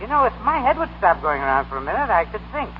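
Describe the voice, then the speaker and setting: Genial tipsy society gent, highish animated voice, polite rambling, dull old radio drama recording. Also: male voice, fast talker, slight background noise. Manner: polite, rambling, hesitant, theatrical. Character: genial, tipsy, well-to-do Park Avenue gentleman. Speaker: actor in a radio play. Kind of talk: 1930s radio acting.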